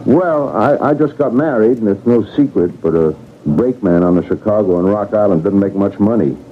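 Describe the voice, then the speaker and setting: An actor playing an earnest, plain-spoken railway brakeman, excitable at times, in old-time radio drama style. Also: on the low side, brisk, a little hiss or hum, close to an everyday voice. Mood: anxious, earnest. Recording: tinny old radio recording.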